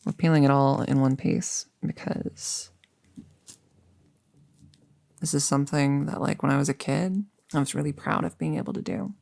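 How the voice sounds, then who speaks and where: A woman's voice: rumbling low, steady tone, fairly quick, gravelly, darkish tone, no room sound. A woman playing a chatty, upbeat girlfriend in an intimate ASMR roleplay, rambling casually and musing with hesitations. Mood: playful, nostalgic. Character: chatty, upbeat girlfriend about to become a vampire.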